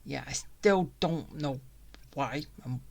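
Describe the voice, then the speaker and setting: A game streamer talking casually through gameplay with music playing behind. The delivery is rambling and a little grumbly, like someone thinking out loud. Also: mid-range voice, slow pace, vocal fry. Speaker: gaming streamer.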